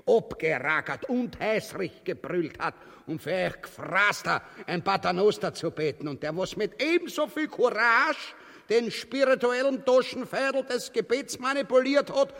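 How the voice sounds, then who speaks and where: An actor with an Austrian accent playing a pompous, gluttonous, cowardly tyrant in bombastic dialect for a grotesque comic radio drama. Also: male voice, high voice, lively intonation, moderate pace, fairly bright tone. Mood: pompous, boastful. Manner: bombastic, drawling, mock-elevated dialect speech. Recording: long hall-like reverb.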